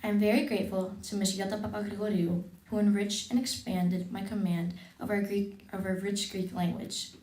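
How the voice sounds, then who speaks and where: A woman's voice: mid-range voice, roomy sound, low-quality recording. A teenage girl reading a formal, earnest thank-you speech as a scholarship recipient at an event. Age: teens. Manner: formal, earnest, steady delivery. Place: event hall.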